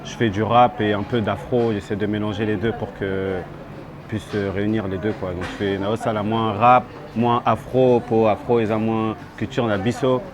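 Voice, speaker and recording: A young man from France, a rapper being interviewed outdoors, talking in a halting way and switching between French and Lingala. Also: fairly low pitch, level tone, a crowd in the background. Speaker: rapper guest. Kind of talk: street interview. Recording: outdoor street noise.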